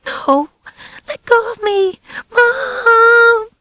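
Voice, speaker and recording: Woman playing a high-voiced, frightened little boy. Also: extremely slow, sharp tone, dry recording, heavily acted. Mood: panicked. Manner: urgent, wide-eyed, pleading.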